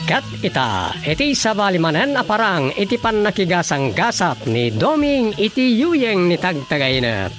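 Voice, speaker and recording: A man's voice: sits high, very animated, normal speed, few pauses. A man with an Ilocano accent delivering dramatic scripted announcements for a radio drama. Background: theme music.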